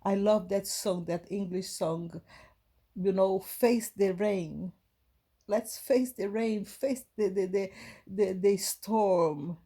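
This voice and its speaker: A woman from Brazil with a Brazilian Portuguese accent, giving a gentle, halting inspirational talk to her viewers with many restarts. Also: medium pitch, husky. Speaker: spiritual vlogger.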